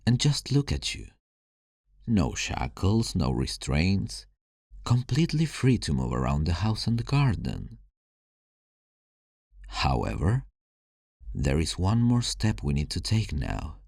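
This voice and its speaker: A man playing an obsessive kidnapper in an ASMR roleplay, tender yet menacing, speaking intimately and deliberately to a captive as he justifies himself. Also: sits low, big pitch swings, vocal fry, a little mellow, breathy edge, dry recording, clean studio sound, full character voice. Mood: gentle, menacing.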